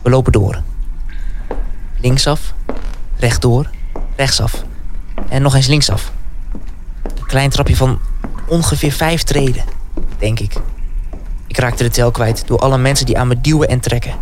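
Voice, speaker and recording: A man's voice: medium pitch, steady tone, measured pace, pauses often, slight background noise, lightly acted. A man acting an anxious, defiant young resistance recruit in a dramatic first-person radio play. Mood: nervous, tense.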